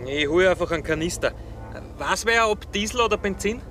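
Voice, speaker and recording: An actor playing a compliant, practical accomplice of a hijacker, earnest and matter-of-fact, with an Austrian accent, in a radio drama. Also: male voice, sits high, animated, a little fast, a bit bright, soft background music. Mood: dutiful. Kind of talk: radio drama acting, conversational. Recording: noisy outdoor car-park ambience.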